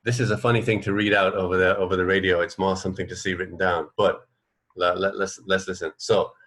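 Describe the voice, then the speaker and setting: A man co-hosting a radio show, chatting casually in an informal, halting way. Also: deep voice, little pitch movement, a bit bright. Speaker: radio co-host. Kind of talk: casual chat.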